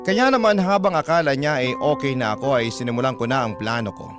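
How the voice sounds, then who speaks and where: A Filipino man reads a first-person letter as a radio storyteller. His voice sits at a middle pitch, with dramatic scripted delivery. Music plays clearly behind him. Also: brisk. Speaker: radio story narrator reading a listener's letter. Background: music bed.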